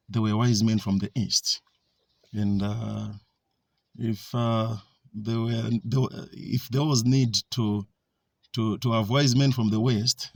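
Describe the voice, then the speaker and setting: A man with a Zambian accent gives an informal talk, pausing often on fillers and restarting his sentences. His voice is gravelly and a little husky, at a middle pitch. The sound is dry and close, with no room echo. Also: darkish tone. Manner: hesitant, with frequent fillers and restarts. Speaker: speaker giving a talk.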